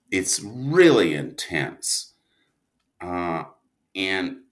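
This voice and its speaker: A man with a fairly low voice speaks very slowly as an interview guest. His talk is casual and anecdotal, coming out in thoughtful, broken phrases with dramatic pauses. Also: fairly bright tone. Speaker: writer and producer, interview guest.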